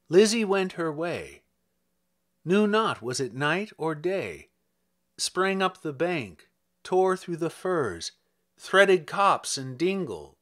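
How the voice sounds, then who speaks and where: A man with a fairly high voice gives a dramatic reading of narrative verse. His delivery is slow and measured, with wide, expressive swings in pitch. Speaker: reader of a poem.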